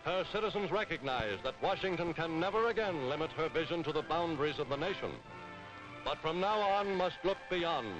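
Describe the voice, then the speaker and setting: Male archival narrator, formal oratory, higher pitch, dull lo-fi radio sound, clear music behind. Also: expressive, fairly quick.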